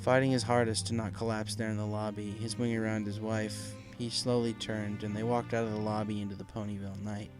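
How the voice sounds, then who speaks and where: A man's voice: lowish voice, level tone, brisk, hardly any pauses, rough recording. A man narrating a dramatic story from a script as an audiobook reader. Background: faint music.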